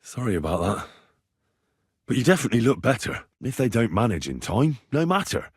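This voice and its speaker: A man's voice: mid-range voice, big pitch swings, a little fast, husky, no echo, clean studio sound. An actor playing an earnest, reassuring young medieval man tending the sick in a video game, speaking plainly and practically. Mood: earnest, encouraging. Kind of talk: video game character dialogue.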